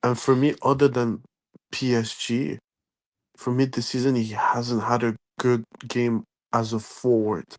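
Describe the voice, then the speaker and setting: A man's voice: medium pitch, level tone, unhurried. A man co-hosting a casual football chat, firm and opinionated.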